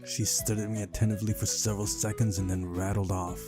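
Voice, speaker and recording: Low-voiced man narrating over music. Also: flat delivery, quick pace. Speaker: visual novel playthrough narrator. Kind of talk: reading story narration aloud.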